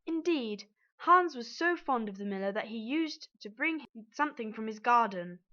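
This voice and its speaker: A woman's voice: a little high, lively intonation, normal speed, bright, forceful tone, muffled recording. A woman reading a scripted fairy tale aloud as the storyteller.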